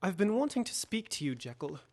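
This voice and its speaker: A man acting in an audio drama as an earnest, worried Victorian gentleman, speaking formally and insistently. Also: high-pitched, very animated, fairly quick, full character voice. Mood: disapproving.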